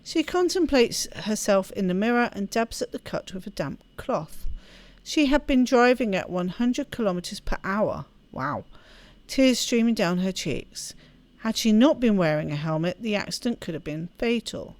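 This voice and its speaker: A woman's voice: mid-range voice, animated, moderate pace, darkish tone, no echo. A woman hosting a gaming video, chatting casually and reading story text aloud with expression. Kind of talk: let's play chat and expressive read-aloud narration.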